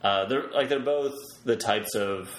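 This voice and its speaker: A man talking casually as a podcast guest, explaining his web development work in measured, halting phrases. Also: on the low side, a bit bright. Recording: roomy echo, faint background noise.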